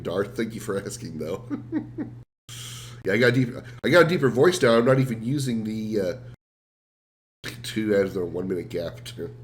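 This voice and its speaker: A man streaming a game while sick, sounding rough and congested, rambling self-deprecatingly and answering his chat. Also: lowish voice, slight background noise. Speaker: game livestreamer. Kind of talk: casual livestream chat.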